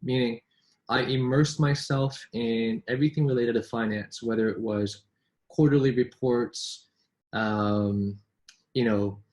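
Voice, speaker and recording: Casting: male business coach in casual chat, medium pitch, repeats words and tags lines with "right?". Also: steady tone, measured pace, darkish tone. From born in New York, raised in Vancouver. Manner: chatty, with frequent restarts and rhetorical "right?". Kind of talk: casual conversation between colleagues.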